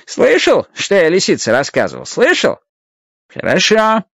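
A man's voice: high voice, wildly swooping pitch, measured pace, vocal fry, fairly bright tone, no echo, studio quality, pitched above the performer's own voice, heavily acted. A man voicing a little old magical guardian in a radio play, a stern but kindly mentor who scolds and lectures emphatically. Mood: scolding.

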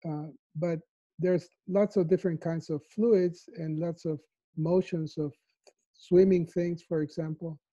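A man's voice: on the high side, fairly even intonation, unhurried. A man in his fifties from El Salvador, answering interview questions thoughtfully from his living room on a video call, with a lapel mic. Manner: soft-spoken, thoughtful, with frequent fillers. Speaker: mathematician interviewee. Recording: dull lo-fi video call audio.

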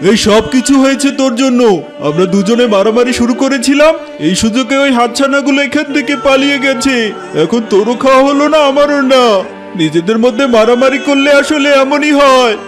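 Casting: grumbling tiger uncle for a kids' cartoon; strikingly high, plaintive voice over music. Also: male voice, fairly quick. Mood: scolding, glum. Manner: pleading, complaining, exaggerated delivery. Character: gruff tiger uncle, beaten and scolding his fox nephew. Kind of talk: children's cartoon voice acting. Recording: music bed behind the voice.

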